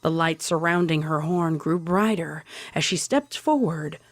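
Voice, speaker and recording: Low-voiced woman reading a story aloud. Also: unhurried, no echo. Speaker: fan fiction reader. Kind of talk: scripted story narration. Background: music.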